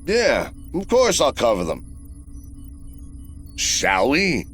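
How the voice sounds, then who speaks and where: A man's voice: mid-range voice, huge pitch swings, takes it slowly, fairly bright tone, soft background music, exaggerated voice. A man playing a cocky, wisecracking mercenary field commander in a video game, talking in a flippant, casual, self-assured way. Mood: wry, casual. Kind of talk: video game cutscene acting.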